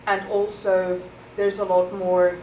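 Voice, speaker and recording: A woman's voice: mid-range voice, little pitch movement, slow, dark tone. A young woman from South Africa whose first language is Afrikaans, giving thoughtful interview answers with many ums. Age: young adult. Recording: echoey room, far from the mic, noisy.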